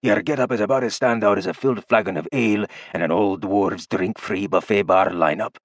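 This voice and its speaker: A man's voice: lowish voice, a little fast, dry recording, studio quality. A man voicing a huge, stern male half-dwarf guard who speaks bluntly and tersely. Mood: gruff.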